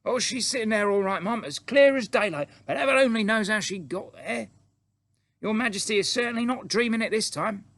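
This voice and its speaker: A man voicing a flustered, loyal old palace maid in an audiobook, loud, exclamatory and homely. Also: sits high, fairly quick, dry recording, voice pushed up. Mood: indignant, astonished. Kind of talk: audiobook character acting.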